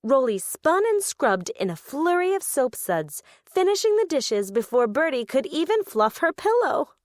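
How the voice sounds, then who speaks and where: A woman narrating a children's story in an expressive read-aloud style. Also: high voice, normal speed, no echo, clean studio sound.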